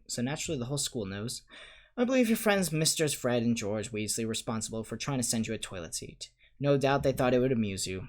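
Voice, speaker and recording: A man reading aloud and voicing a kindly old male headmaster wizard who speaks gently and calmly, with a wry touch. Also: on the low side, lightly acted. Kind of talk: audiobook reading.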